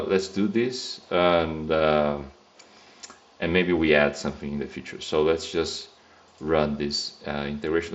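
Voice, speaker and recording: A man with a Brazilian Portuguese accent speaks in a very low, deep voice, thinking aloud in a loose, casual way as he works through a screen-recorded coding demo. The sound is a little dull and lo-fi, as if from a desk mic. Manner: casual, thinking aloud, frequent fillers and restarts. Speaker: software test engineer.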